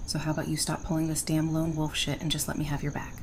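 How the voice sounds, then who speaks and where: Woman acting a feisty, lowish-voiced survivor. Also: completely flat delivery, fast, a little hiss or hum. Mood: exasperated. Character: feisty, loyal female survivor, frank and sardonic. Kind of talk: fan audio drama acting.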